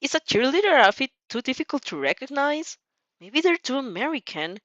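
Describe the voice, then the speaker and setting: Woman acting cheery teen girl, high pitch, playful, bubbly, mock-innocent, anime fan drama. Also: sing-song, brisk, fairly bright tone, dry recording, dull audio. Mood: pouty, playful. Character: cheery, playful, teasing teenage schoolgirl heroine.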